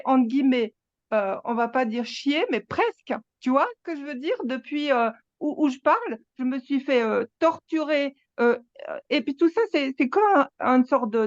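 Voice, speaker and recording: Woman in her sixties, high-pitched, halting and emphatic, dull lo-fi recording. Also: no room sound. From Switzerland. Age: 60s. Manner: halting, emphatic, many restarts. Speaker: satsang participant. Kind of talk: personal sharing at a spiritual gathering.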